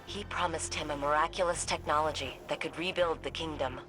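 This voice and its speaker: A woman playing an earnest, thoughtful sci-fi ally in a video game, with a measured, dramatic and reflective delivery. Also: low voice, steady tone, close to an everyday voice, low-quality recording. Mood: solemn storytelling. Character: earnest, thoughtful female sci-fi ally guiding a soldier by comms. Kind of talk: scripted video game dialogue and storytelling. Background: prominent music.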